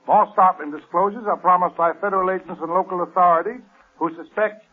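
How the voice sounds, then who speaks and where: An actor playing an urgent radio newscaster who declaims a dramatic bulletin in punchy 1930s style. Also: male voice, high-pitched, steady tone, fast talker, husky. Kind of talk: 1930s radio news reading. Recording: tinny old radio recording, faint music.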